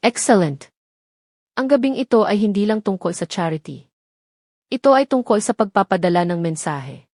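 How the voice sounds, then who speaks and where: A woman's voice: mid-range voice, lively intonation, a little fast, darkish tone, no echo, studio quality. A woman with a Filipino accent reading a scripted dramatic story as its narrator.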